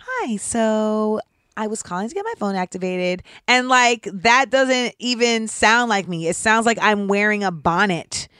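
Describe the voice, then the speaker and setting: A woman in her thirties with a deep voice, hosting a casual commentary show in a candid, sarcastic way and saying "like" often. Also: fairly bright tone, clean tone, no room sound, clean studio sound. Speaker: host.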